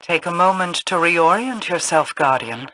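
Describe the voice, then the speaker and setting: A woman's voice: lowish voice, animated, moderate pace, noisy background, close to an everyday voice. A woman playing a regal, formal queen in a sci-fi fantasy video game. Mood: regal, composed. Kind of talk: scripted video game voice acting.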